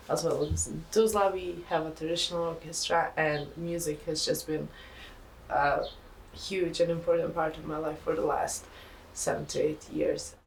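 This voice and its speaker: A woman's voice: on the low side, creaky. A young woman from Bosnia and Herzegovina with a Bosnian accent, a student musician speaking earnestly in a documentary interview. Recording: distant mic, faint ambient noise.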